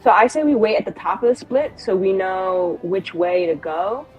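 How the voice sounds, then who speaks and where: A woman's voice: mid-range voice, lively intonation, moderate pace, lo-fi sound, faint music behind. A woman playing a tabletop game, improvising in character in a coaching, scheming manner.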